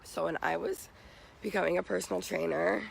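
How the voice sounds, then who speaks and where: A young American man talks casually in a high-pitched voice for a man, with very heavy vocal fry and a raspy, hoarse edge. He sounds like a vlogger handing out tips, recorded outdoors with faint background noise. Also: steady tone, brisk, rough recording. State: morning hoarseness. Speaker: vlogger giving job interview tips. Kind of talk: casual advice vlog.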